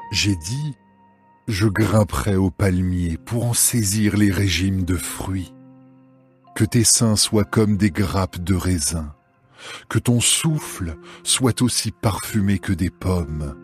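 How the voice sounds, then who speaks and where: Man playing adoring lover, real low voice, slow solemn bible poetry, music behind. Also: a little mellow. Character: adoring male lover praising his beloved's beauty. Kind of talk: dramatised scripture reading.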